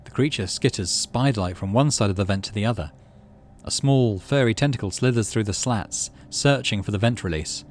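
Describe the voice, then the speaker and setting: A man hosting a let's play, reading a story game aloud with expressive delivery and muttering asides about his moves. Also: fairly low pitch, fairly quick, a little hiss or hum.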